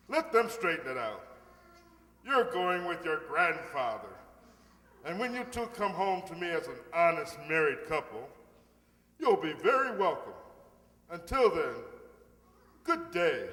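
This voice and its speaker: A man's voice: fairly high pitch, pushed and bright, full character voice. An actor playing a pompous, blustering wealthy older father in an old-time radio comedy. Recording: echoey hall, stage radio play.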